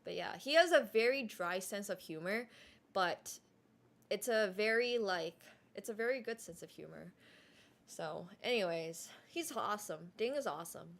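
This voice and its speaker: A woman's voice: medium pitch, lively intonation, creaky, fairly bright tone. Chatty young woman streamer, Mandarin native. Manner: chatty, many "like" fillers and quick restarts. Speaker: live streamer. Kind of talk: casual live-stream chat.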